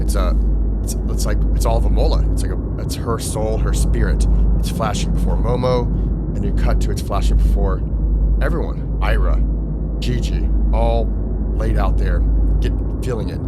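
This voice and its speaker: A man doing casual, excited play-by-play narration of comic panels, informal and dramatic, as a read-along host. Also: very noisy. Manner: informal, dramatic, with interjected booms. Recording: music under the voice. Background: music.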